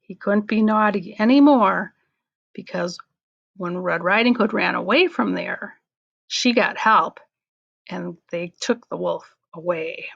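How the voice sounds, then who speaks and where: A woman's voice: medium pitch, takes it slowly, vocal fry, fairly bright tone, lo-fi sound. A woman telling a children's story aloud, as a storyteller for young schoolchildren.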